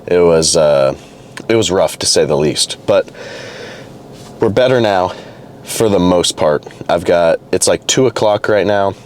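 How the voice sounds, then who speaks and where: A young man vlogging casually to camera, a little hoarse and congested from a cold, rambling loosely with restarts and fillers. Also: low voice, a little slow, a little hiss or hum. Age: young adult. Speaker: fitness vlogger. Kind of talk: casual vlog talk to camera.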